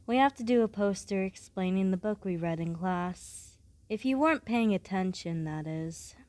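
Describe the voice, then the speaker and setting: A woman playing a blunt, aloof, studious student in a scripted audio roleplay, speaking in a deadpan way with formal wording. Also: medium pitch, normal speed, dry recording, lightly acted. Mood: flat, resigned. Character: blunt, aloof, studious female student, coolly matter-of-fact.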